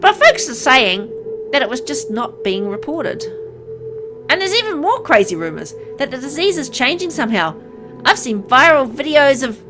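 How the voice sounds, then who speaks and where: Caring, stubborn young woman, acted by a female reader, brisk and affectionate, visual novel, music underneath. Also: high voice, sing-song, pitched above the performer's own voice. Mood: uneasy. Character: caring, stubborn young woman visiting her sick partner.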